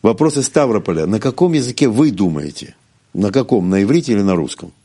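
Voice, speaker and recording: A man hosting a live talk, briskly reading out viewer questions and prompting the guest. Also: on the low side, expressive, dark tone, slight background noise. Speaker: host.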